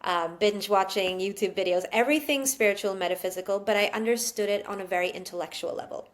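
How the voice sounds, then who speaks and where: A woman's voice: medium pitch, fast. A woman from Sweden, a guest on a podcast interview, talking in a chatty way, laughing often and using many filler words. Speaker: guest, psychic channel. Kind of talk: casual interview chat.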